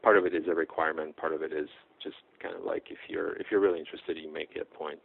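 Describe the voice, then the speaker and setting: Deep gravelly man, tinny sound. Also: level tone, quick pace. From Czech Republic. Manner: hesitant, many fillers and restarts. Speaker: guest, blacksmith. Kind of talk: casual interview. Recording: tinny phone-like audio.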